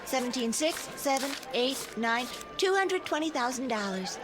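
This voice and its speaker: A polite, helpful female cashier in a TV drama. Her voice is high, and her manner is formal. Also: lively intonation, moderate pace. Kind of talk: dramatic TV acting.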